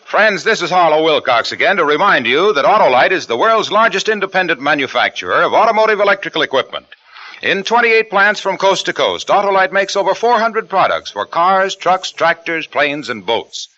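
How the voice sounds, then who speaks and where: Man, 1950s radio pitchman, bright and animated; lo-fi broadcast. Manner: brisk, lively, emphatic salesman delivery. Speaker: commercial announcer. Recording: muffled old radio broadcast.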